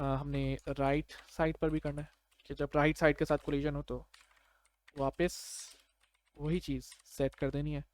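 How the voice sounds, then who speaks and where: A man with a fairly high, very clear and smooth voice explains code as a tutorial presenter. His delivery is conversational and step-by-step, with English technical terms mixed in. The sound is dry and close, with little room echo. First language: Urdu. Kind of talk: casual step-by-step screencast tutorial.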